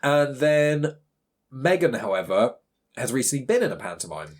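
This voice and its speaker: A man's voice: medium pitch, big pitch swings, moderate pace. A man with a southern English accent chatting casually as a podcast co-host. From Southampton, England. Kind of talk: casual chat.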